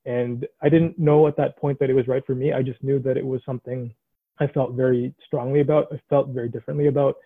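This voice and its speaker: A man's voice: mid-range voice, level tone, quick pace, dry recording. A man in his late twenties telling students about his career path on a video call, thinking aloud with many restarts. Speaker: alumni mentor in consulting. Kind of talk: casual advice on a video call. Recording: tinny phone-like line, dry.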